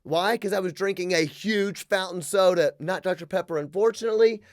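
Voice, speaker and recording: Animated, high-voiced podcast host. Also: male voice, dry recording, studio quality. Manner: animated, sarcastic, quick to joke. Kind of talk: casual solo podcast rant. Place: small room with wood-plank wall.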